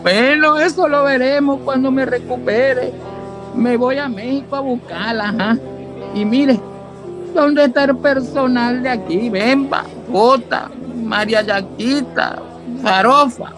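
An actor playing a gruff, foul-mouthed Venezuelan father and bar owner in a radio soap, blunt and emotional. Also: extremely high pitch, unhurried. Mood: blunt, determined. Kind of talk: radio soap opera acting. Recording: prominent guitar music and crowd noise behind.

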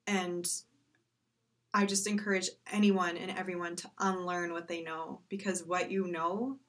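A young woman with a slightly low voice takes part in a discussion. She speaks earnestly and reflectively, repeating phrases deliberately for emphasis. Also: steady tone. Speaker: panel discussion participant.

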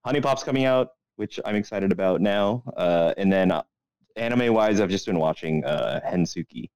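A man's voice: sits low, lively intonation, moderate pace, a little mellow, smooth, dry recording. A young man co-hosting a podcast in casual, rambling chat full of profanity and slang. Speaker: podcast co-host.

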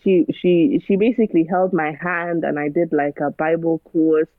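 A woman's voice: deep voice, fairly even intonation, moderate pace, no echo. Earnest woman, tinny phone-like sound. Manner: earnest, preachy, rhetorical questions. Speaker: guest. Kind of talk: casual conversation. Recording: dry, phone-like narrow sound.

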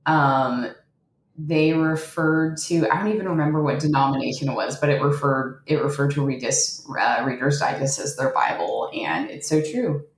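A woman with a low voice talks through a book in a loose, chatty way, full of "like" and "um". She retells its stories with a dry, sarcastic edge. Her voice rings in an echoey room. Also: moderate pace. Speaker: podcast co-host. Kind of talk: casual book discussion.

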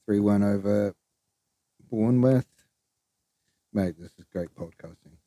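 Male podcast host, low mellow voice, rambling and offhand, very dry room. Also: a little slow, no room sound. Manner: rambling, loose, swears, trails off. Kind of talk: casual solo podcast chat.

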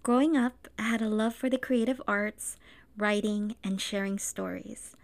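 Woman, thirties, podcast co-host, scripted intro, earnest, measured, raspy fry. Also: medium pitch, fairly even intonation. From Philippines, raised in Los Angeles. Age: 30s.

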